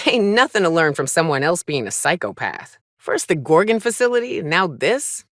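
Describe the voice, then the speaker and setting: A woman voicing a gruff, tough but caring frontier monster hunter in a video game, with wry, folksy, blunt delivery. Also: low-pitched, a bit bright, no echo, clean studio sound. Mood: disapproving, weary. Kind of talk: video game character dialogue.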